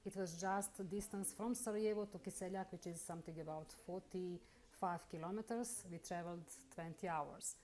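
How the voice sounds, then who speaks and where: Bosnian-accented woman, low voice. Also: fairly even intonation, darkish tone. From Bosnia and Herzegovina. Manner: halting, careful non-native English. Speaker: interviewee, lawyer. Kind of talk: personal account in an interview.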